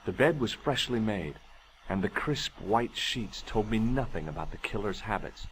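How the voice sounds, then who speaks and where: An actor playing a wry young amateur sleuth, narrating a video game adventure in an articulate, deadpan way. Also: male voice, fairly low pitch, moderate pace, slight background noise, natural voice, poor audio. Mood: musing.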